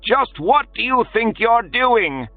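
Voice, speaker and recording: High, lively, imperious court matron, as a male narrator puts her on; tinny, distant sound. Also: slow, no echo, faint music behind, heavily acted. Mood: commanding. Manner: haughty, scolding. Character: imperious older woman, court advisor.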